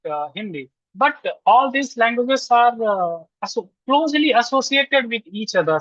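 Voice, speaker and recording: A man from Lucknow with an Indian English accent, answering questions as a guest researcher with many pauses and restarts. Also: high voice, no room sound. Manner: frequent "uh" pauses and restarts, reasoning out loud. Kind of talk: webinar answers, hesitant and filler-heavy. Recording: dull, dry video call audio.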